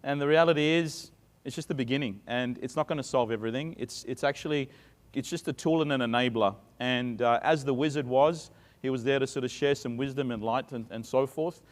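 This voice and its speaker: A man's voice: mid-range voice, brisk. A middle-aged man with an Australian accent, giving a chatty conference talk full of fillers and restarts. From Sydney, Australia. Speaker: conference speaker. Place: conference stage. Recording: reverberant conference hall.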